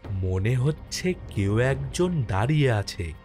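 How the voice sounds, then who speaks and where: A man playing an easygoing village postmaster who narrates his own ghost story in an expressive, dramatic way for a horror cartoon. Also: mid-range voice, slow pace. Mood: uneasy, suspenseful. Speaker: first-person narrator and lead character. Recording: music under the voice.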